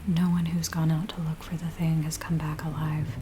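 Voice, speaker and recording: Woman acting as a frightened village woman, breathy ASMR whisper, hushed and hesitant, low-pitched, very even intonation, rain behind. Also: close to an everyday voice. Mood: fearful. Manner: hushed, hesitant, slow storytelling. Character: frightened village woman pleading with a werewolf tracker. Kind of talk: soft-spoken whispered ASMR roleplay. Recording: steady rain-like background noise. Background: rain sounds.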